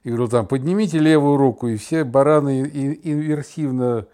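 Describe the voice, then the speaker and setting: A man's voice: medium pitch, brisk, mellow. A middle-aged or older man co-hosting a podcast, chatting casually and telling anecdotes in a wry, joking way. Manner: wry, mock-plaintive joking. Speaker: podcast co-host.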